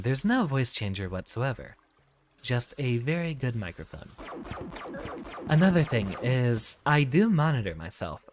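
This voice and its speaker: A man speaks in a cultivated, professorial streaming voice with a light gravelly fry on the vowels. His cadence is musical and softly feminine, rising and falling widely. Sentences are formal and deliberate, settling downward as they close. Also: mid-range voice, a little hiss or hum. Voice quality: voice placed at back of throat, raised above natural register. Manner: sentences swoop up and down, dropping at the end. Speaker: game streamer. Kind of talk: livestream commentary in a practiced professor persona.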